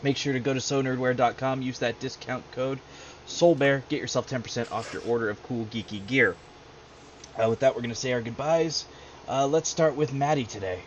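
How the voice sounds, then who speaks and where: A man hosting a tabletop game stream as game master, losing his voice, running the questions briskly. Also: mid-range voice, dull audio, slight background noise. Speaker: game master and show host. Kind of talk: casual post-game chat.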